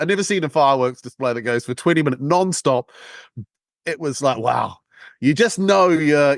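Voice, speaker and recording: A man in his forties with a New Zealand accent talks as an interview guest, spinning brash, jokey stories. His voice sits fairly high for a man. The sound is close and dry. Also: no room sound. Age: 40s. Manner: brash, joking storyteller. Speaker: guest, sales trainer. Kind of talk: casual interview chat.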